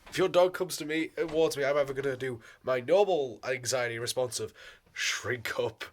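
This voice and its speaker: A man with a sore, hoarse throat, rambling casually in a vlog, swearing and laughing often. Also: medium pitch, moderate pace. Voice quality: hoarse, voice cracking. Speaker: vlogger.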